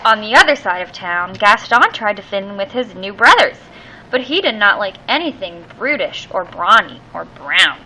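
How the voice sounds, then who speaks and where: A woman reading a children's picture book aloud expressively, with theatrical, drawn-out emphasis on key words. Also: mid-range voice, big pitch swings, bright, forceful tone, a little hiss or hum, low-quality recording.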